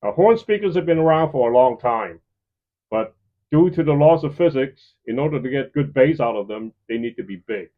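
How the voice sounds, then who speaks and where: A man's voice: medium pitch, expressive, moderate pace. Male channel host, dull lo-fi recording. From lives in the Chicago area, United States. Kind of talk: semi-scripted presenting to viewers.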